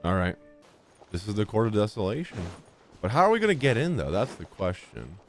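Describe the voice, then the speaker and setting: Low-voiced, animated male game streamer. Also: brisk. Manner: enthusiastic, slangy. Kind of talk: casual gameplay commentary. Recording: game music behind voice. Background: game music.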